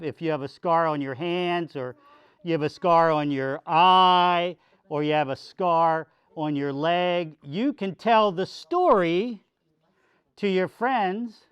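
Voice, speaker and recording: Male pastor for children's sermon: unhurried, smooth, folksy, simple wording; dry, muffled lo-fi recording. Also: sits high, no room sound. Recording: dull lo-fi sound.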